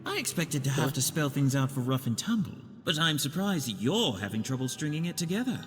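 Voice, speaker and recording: A man's voice: fairly high pitch, big pitch swings, brisk, slightly rough. Man acting a pompous, scheming villain doctor, swooping delivery. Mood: condescending. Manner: pompous, articulate villain monologue. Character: scheming, condescending male villain, a doctor plotting coldly. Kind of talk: theatrical comic dub acting. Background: music.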